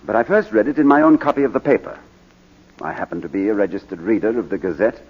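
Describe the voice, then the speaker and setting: Proud English baronet, low husky voice, dignified and halting, vintage radio drama. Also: male voice. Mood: firm. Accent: British. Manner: hesitant, clipped, dignified. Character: proud English baronet, war-wounded veteran testifying in court. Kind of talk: 1940s radio drama acting. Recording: muffled vintage 1940s radio broadcast.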